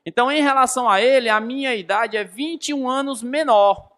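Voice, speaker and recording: High-voiced Brazilian man teaching. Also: fairly quick, fairly bright tone. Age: 30s. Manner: didactic, emphatic, repeats key phrases and questions his listeners. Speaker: physics teacher. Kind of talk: explanatory lesson with rhetorical questions.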